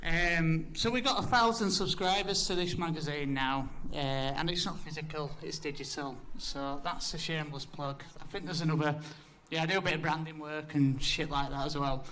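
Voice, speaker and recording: A man's voice: a little high, moderate pace, hardly any pauses, sharp tone, a little hiss or hum. A young man with a Yorkshire accent giving a casual, sweary, self-deprecating talk about his design work at a community event. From Yorkshire, England. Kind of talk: casual conference presentation.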